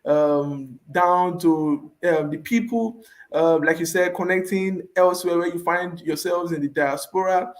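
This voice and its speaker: A man speaking as a youth leader on an online panel over a video call, earnest and enthusiastic with frequent pauses. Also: a little high.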